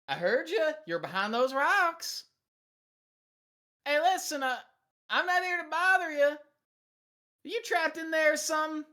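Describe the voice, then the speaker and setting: Lady acting as a friendly folksy hiker gal, really high bright voice, chatty and persistent. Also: heavily acted. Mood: concerned, persistent. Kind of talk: table read acting.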